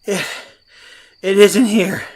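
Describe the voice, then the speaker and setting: An actor playing a desperate, terrified man in a 1930s-style radio horror drama, speaking in a halting, melodramatic way. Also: sits high, fairly even intonation, darkish tone, husky, full character voice. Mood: bewildered, panicky. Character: desperate terrified man recounting a nightmarish flight.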